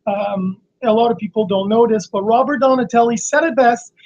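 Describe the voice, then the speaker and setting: A hoarse man with laryngitis, a fitness coach teaching on a live stream in a halting, explanatory way with many restarts. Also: very high voice, a little mellow. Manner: explanatory, frequent fillers and restarts. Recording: slightly dull lo-fi stream audio.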